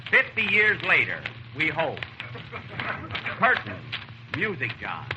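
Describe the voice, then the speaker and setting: Male radio comedy presenter, high-pitched with big swings, vintage 1930s sound with clear noise. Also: huge pitch swings, slow. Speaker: announcer-host presenting a sketch. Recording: noisy old 1930s radio recording.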